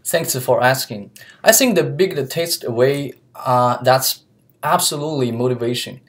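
A young man from China speaks English with a Chinese accent, earnest and careful. He pauses with fillers and restarts phrases as he looks for words. His voice is picked up by a laptop webcam in a small office room. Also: medium pitch. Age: young adult. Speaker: student interviewee. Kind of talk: mock job interview. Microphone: laptop webcam mic.

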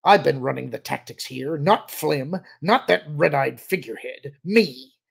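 Guy doing a fanatical major from a sci-fi audiobook, snarling and desperate, rough husky voice, pitch jumping up. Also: animated, a little slow, heavily acted. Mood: agitated, shouting. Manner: snarling, sneering, agitated, insistent. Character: fanatical military major, desperate, boastful, raging, vengeful.

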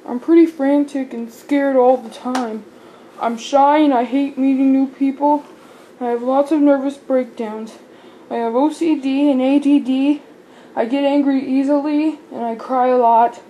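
A woman's voice: high voice, fairly even intonation, a little slow, warm tone, lo-fi sound, slight background noise. A young woman voicing a nervous, shy male doll in his twenties, talking in an anxious, plaintive way.

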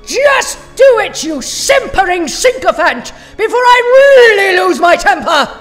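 A woman's voice: extremely high pitch, big pitch swings, measured pace, a bit bright, heavily acted. Woman doing an imperious short-tempered evil scientist lady, theatrical, echoing hall, music behind. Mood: furious. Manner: haughty, theatrical, scornful. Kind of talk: audio drama acting. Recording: long hall reverb, music underneath.

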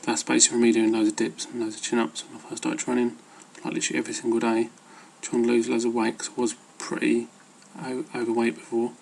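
A man's voice: mid-range voice, completely flat delivery, fast talker, gravelly, hardly any pauses, darkish tone, a little hiss or hum, rough recording. A man giving casual, rambling advice as an amateur fitness vlogger, hesitant and loosely worded.

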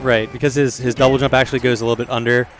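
A quick-talking man breaking down the technical details of a live tournament match. His pitch sits in the middle, and clear music plays behind him. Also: little pitch movement. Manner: analytical, explains technical situations. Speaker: esports commentator. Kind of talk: live color commentary. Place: tournament venue.

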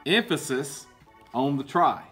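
Middle-aged coach, husky, lively reading. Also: male voice, medium pitch, slow, faint music behind. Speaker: baseball coach and PE teacher. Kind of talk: children's picture-book read-aloud with explanations. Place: indoor school room with book shelves.

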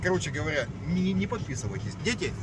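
Casting: male vlogger, high-pitched voice, joking, repetitive casual chat, recorded in a noisy moving car. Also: very animated, fast, distant mic. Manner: joking, repeats himself, rambling. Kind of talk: casual rambling chat while driving. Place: inside a moving car at night. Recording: loud car engine and road noise.

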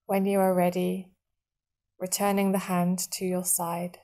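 A woman guiding a meditation in a soothing, measured manner, leaving long pauses between short phrases. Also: lowish voice, completely flat delivery, mellow. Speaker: meditation guide. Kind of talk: guided body scan meditation narration.

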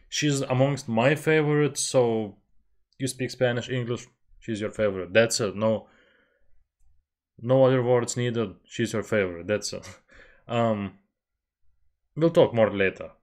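A man's voice: medium pitch, a little mellow. Young man chatting on a headset. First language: Lithuanian. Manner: chatty, self-deprecating, laughs often. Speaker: reaction channel host. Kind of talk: casual music reaction commentary. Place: small bedroom at home. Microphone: headset with desk mic, webcam framing.

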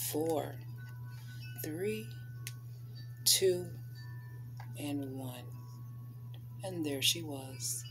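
A woman in her thirties reading aloud to children as a storyteller, with deliberate, dramatic emphasis on key words. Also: sits low, poor audio. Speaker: storyteller reading a picture book. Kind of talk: expressive read-aloud for children. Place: small living room, indoors.

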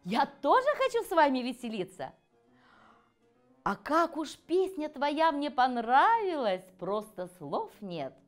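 A woman's voice: high voice, very animated, slow, soft background music, pitched above the performer's own voice, exaggerated voice. A woman voicing a friendly, generous female rainbow in a storybook, sweet and eager. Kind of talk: children's storybook reading.

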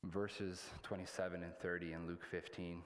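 A man in his thirties preaching a sermon as a pastor, in a halting, plain-spoken, colloquial way. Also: sits low, little pitch movement. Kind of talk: sermon with scripture reading. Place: indoors at a church pulpit.

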